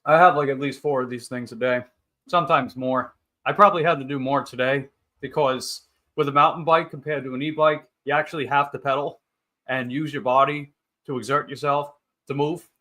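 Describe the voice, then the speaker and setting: A man's voice: medium pitch, fairly even intonation, brisk, pushed and bright. A thirty-year-old man hosting a casual livestream, rambling along in upbeat banter as he chats with viewers. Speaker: livestream host. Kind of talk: casual livestream chat, reading and answering viewer comments.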